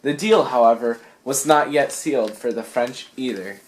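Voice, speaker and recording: A man's voice: mid-range voice, normal speed, a little hiss or hum, poor audio. A young man reading a scripted history narration for a student project. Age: young adult.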